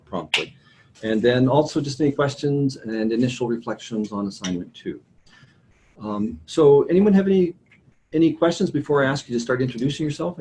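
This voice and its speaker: A middle-aged male instructor leads a class informally in a mid-pitched voice. He hesitates and corrects himself often. Faint room noise sits behind him. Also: dark tone. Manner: hesitant, frequent pauses and self-corrections. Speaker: university instructor. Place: classroom with online participants.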